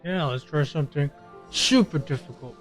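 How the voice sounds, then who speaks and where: A man's voice: fairly high pitch, normal speed. A man giving informal, playful commentary as he plays a video game, with music behind him. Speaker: game streamer. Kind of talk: casual gameplay commentary.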